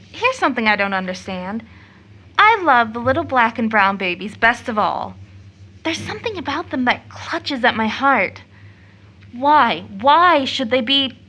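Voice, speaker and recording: Devout, earnest young girl in audio drama; high, bright voice, fervent, emotionally heightened delivery. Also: exaggerated voice. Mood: troubled, pleading. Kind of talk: stage-play audio drama acting.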